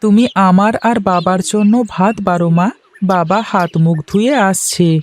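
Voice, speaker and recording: A woman's voice: mid-range voice, steady tone, moderate pace, darkish tone, natural voice. Woman voicing an innocent little village boy. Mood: dutiful. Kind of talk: cartoon dialogue acting.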